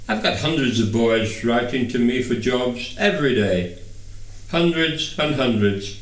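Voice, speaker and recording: A man's voice: mid-range voice, unhurried, lightly acted. A man voicing a brusque, impatient older knight who runs a flying circus, in an audiobook. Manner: brusque, questioning, dismissive. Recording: echoey room, distant microphone.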